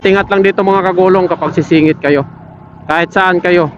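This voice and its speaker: A man's voice: high-pitched, steady tone, fairly quick. Male moto vlogger, casual and chatty, helmet intercom sound slightly muffled over faint road noise. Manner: friendly, addressing viewers with shoutouts. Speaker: motorcycle vlogger. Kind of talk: casual vlog chat while riding. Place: outdoors on a motorcycle in evening city traffic. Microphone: helmet intercom linked to action camera. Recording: muffled audio with traffic and engine noise. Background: vehicle and street noise.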